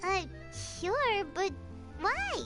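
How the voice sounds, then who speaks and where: A woman's voice: very high voice, sing-song, very slow, exaggerated voice. A woman voicing a small, cheerful, naive fairy companion in a video game, childlike and curious. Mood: startled. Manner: speaks of herself in third person, curious. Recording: loud game music behind. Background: loud playful music.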